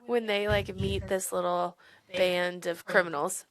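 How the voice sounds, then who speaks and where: A woman co-hosting a podcast in casual book chat, retelling scenes in an animated way and swearing freely. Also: lowish voice, measured pace, poor audio. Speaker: podcast co-host.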